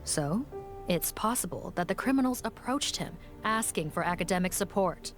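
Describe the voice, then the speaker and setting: An actress voicing a composed woman in a game who speaks in a measured, thoughtful way. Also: lowish voice, expressive, lightly acted. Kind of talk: game voice acting. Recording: game audio with faint music.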